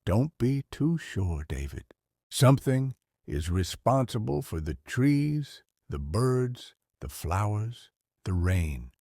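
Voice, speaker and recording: An actor playing a kindly old grandfather who tells Bible stories in an unhurried, expressive, theatrical way. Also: lowish voice, no room sound, clean studio sound, heavily acted. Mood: gentle. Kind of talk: dramatized audio story narration.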